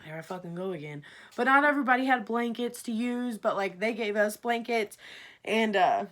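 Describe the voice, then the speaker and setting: A woman's voice: a little high, normal speed, a bit bright. A young adult woman vlogger telling a personal story in a casual, chatty, slangy way, with swearing and acted-out dialogue. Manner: informal slangy talk with frequent swearing, acts out dialogue.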